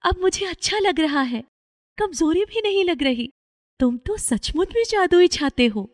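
High-pitched older village mother, acted by a woman. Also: expressive, a little slow, dry recording, clean studio sound, big-room sound. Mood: amazed relief. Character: poor, weary, skeptical older village mother.

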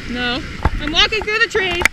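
A young woman vlogging with an action camera while skiing outdoors, chatty, excitable and teasing. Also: very high voice, normal speed. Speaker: vlogger filming ski club. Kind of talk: casual chat while skiing. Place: outdoor ski slope. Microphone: action camera worn or handheld. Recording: loud wind noise outdoors.

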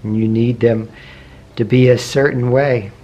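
Soft-spoken man guiding a meditation. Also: medium pitch, fairly even intonation, highs cut off. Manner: slow, soft, gentle questioning with long pauses. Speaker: meditation teacher. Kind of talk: guided meditation. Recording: faint background noise with meditation music.

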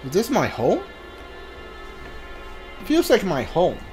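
Male streamer, casual playthrough commentary, animated intonation, slow pace, short musing reactions. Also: high-pitched. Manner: muses aloud in short reactions. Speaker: video game streamer. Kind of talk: casual let's play commentary. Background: game music.

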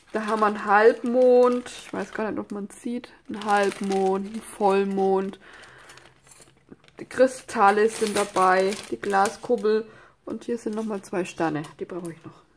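A woman with a stuffy head cold talks her way through a crafting project at her desk. Her voice sits a little high. She rambles casually, full of fillers and side remarks. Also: slight background noise. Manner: chatty, rambling, many fillers and asides. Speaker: craft channel host. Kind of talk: casual crafting tutorial chat. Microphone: overhead camera filming hands on desk.

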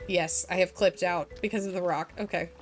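A woman's voice: fairly low pitch, steady tone, brisk, faint music behind. A young woman casually streaming a game and reading its dialogue aloud, while she is losing her voice from illness. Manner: chatty, reads the hero's lines playfully.

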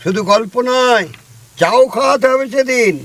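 A man's voice: very high-pitched, normal speed, a bit bright. A man playing a smug, superstitious busybody neighbour in a comic audio drama, emphatic and knowing in his insinuating advice. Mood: sly flattery.

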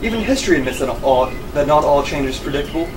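A teenage boy with a slightly high voice delivers a formal, reflective graduation speech. He sounds distant from the mic, and a steady hum of air conditioning runs underneath. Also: fast. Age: teens. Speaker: graduating student speaker. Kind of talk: prepared speech at a podium.

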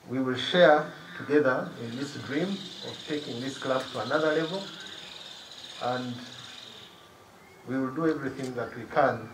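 A middle-aged man delivers a formal prepared speech at a slow, deliberate pace. His voice rings with outdoor reflections, and faint birdsong sits behind it. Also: mid-range voice, reverberant, slight background noise, poor audio. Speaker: guest of honour giving a speech. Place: outdoors on a lawn by trees. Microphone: stand mic at a distance, under an umbrella.

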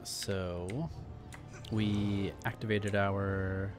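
A man's voice: lowish voice, flat delivery, drawn-out words. A man hosting a casual let's play, chatting in a laid-back way with jokey asides. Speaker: gaming channel host. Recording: loud game music behind voice.

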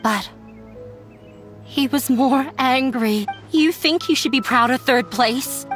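A gentle runaway daughter in an anime game. Her high voice is earnest, hesitant and full of self-doubt. Light music plays underneath. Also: slow pace. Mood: sad. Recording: music bed behind the voice.

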